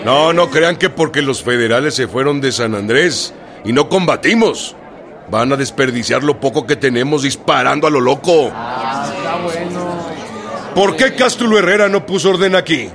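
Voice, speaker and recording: An actor playing a gruff but courtly Mexican revolutionary chief in a radio drama, speaking with colloquial authority. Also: male voice, medium pitch, expressive, normal speed, lightly acted. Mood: commanding. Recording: radio drama with music and crowd behind.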